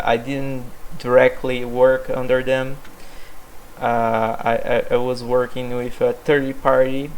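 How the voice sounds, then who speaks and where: A man's voice: medium pitch, creaky, a little hiss or hum, poor audio. A young man from Brazil, a native Portuguese speaker, presenting his developer background in English with many fillers and restarts. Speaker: software developer. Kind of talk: self-presentation monologue.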